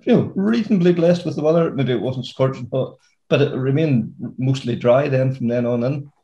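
Mellow-voiced podcast host. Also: male voice, medium pitch, normal speed, highs cut off. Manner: chatty, upbeat, many fillers. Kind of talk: casual podcast chat.

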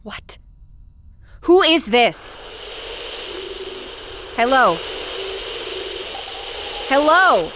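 A woman playing a brisk, skeptical space commander who takes calls in an audio drama, with crisp, questioning, formal delivery. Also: high voice, big pitch swings, noisy background, exaggerated voice. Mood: alarmed. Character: brisk, skeptical female space commander hosting call-in archive.

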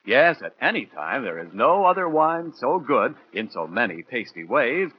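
A man's voice: mid-range voice, big pitch swings, normal speed, husky. A man announcing a 1940s radio show and its sponsor in a polished, emphatic sales manner. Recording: muffled 1940s radio broadcast.

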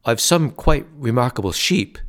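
A man voicing a big, weary zoo owner who answers flatly and unbothered. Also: medium pitch, moderate pace, voice pushed up, natural voice.

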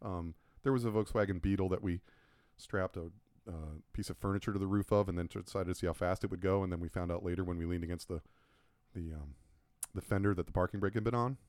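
A man's voice: low voice, quick pace, a little mellow, dry recording, clean studio sound. A man co-hosting a casual podcast chat, rambling and self-mocking as he tells stories. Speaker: podcast co-host and logo designer.

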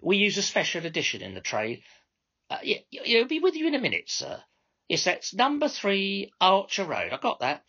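A man's voice: high-pitched, huge pitch swings, normal speed, bright, forceful tone, slightly rough, lo-fi sound, pitched above the performer's own voice, exaggerated voice. A man voicing a brash, bossy female minicab controller in a story, with insincere courtesy. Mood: falsely polite. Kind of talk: storybook character dialogue.

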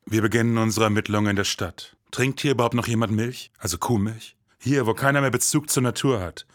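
A man playing a jaded, grumbling detective who narrates in a deadpan, disdainful noir style. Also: fairly low pitch, fairly quick, no echo, clean studio sound, lightly acted.

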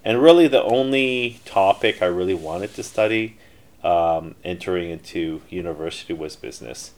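A man's voice: low-pitched, normal speed, a little mellow. A middle-aged man telling his life story in a casual interview, rambling with many fillers and restarts. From Canada. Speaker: interview guest, entrepreneur.

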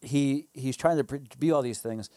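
Halting mid-pitched man talking casually. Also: mellow, no echo, clean studio sound. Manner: halting, restarts phrases, playful. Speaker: podcast co-host. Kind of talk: casual faith discussion.

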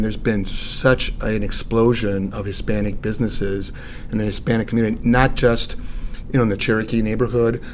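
A middle-aged or older man with an American accent, giving measured, explanatory answers as an interview guest. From Saint Louis, United States. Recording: noisy with low rumble.

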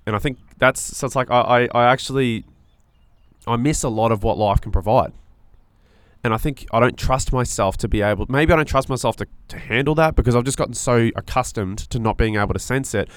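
A man's voice: medium pitch, fairly quick, smooth, no echo. A man with a nasal voice co-hosting a podcast, talking in an earnest, searching way with frequent restarts. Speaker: podcast co-host. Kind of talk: casual reflective chat.

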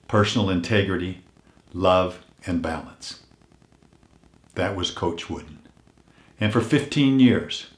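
An older man hosting a weekly motivational message, earnest and unhurried, his voice a little hoarse as if he is losing it, often dropping to a hush on heartfelt points. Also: low-pitched, echoey room. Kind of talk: reflective motivational talk.

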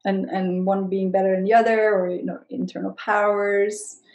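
A woman from Switzerland and the Netherlands, a guest coach, who speaks in a reflective, soft-spoken way during a casual interview. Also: mid-range voice, level tone, moderate pace. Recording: roomy echo.

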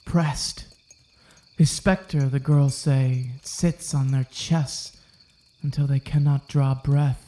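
An actor playing an uneasy, dutiful Puritan sheriff in a period audio drama, restrained and formal. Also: male voice, medium pitch, little pitch movement, takes it slowly, mellow, close to an everyday voice. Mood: uneasy, conspiratorial. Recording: roomy, echoing sound.

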